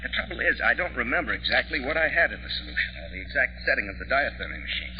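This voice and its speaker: Man acting a fussy American dad, animated, old radio. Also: medium pitch, quick pace, close to an everyday voice. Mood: absorbed, musing. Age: middle-aged. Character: grumbling, absent-minded father, amateur basement scientist. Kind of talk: 1940s radio drama acting. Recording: dull vintage radio recording with hum.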